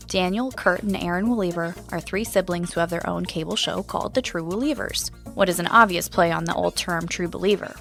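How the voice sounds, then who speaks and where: Curious, conversational podcast host. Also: female voice, on the low side, a bit bright. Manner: conversational, inquisitive. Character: curious, friendly female podcast host and investigator. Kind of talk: scripted podcast narration and audio drama dialogue.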